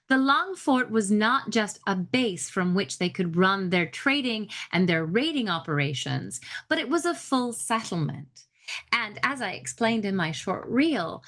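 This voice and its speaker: A woman's voice: medium pitch, a bit bright. A woman podcast host giving an informal history lecture in a chatty, explanatory way with wry asides.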